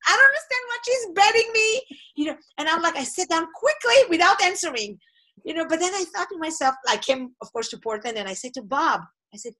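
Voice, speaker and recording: A woman's voice: very high-pitched, brisk, poor audio. A middle-aged woman from Israel whose first language is Hebrew, hosting an interview and telling her own stories expressively. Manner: animated, expressive storyteller. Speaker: host. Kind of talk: conversational interview.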